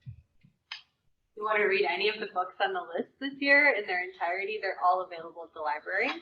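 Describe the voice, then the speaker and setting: A woman's voice: medium pitch, fairly quick. A woman speaking casually and plainly at a public event. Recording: dull room recording with background noise.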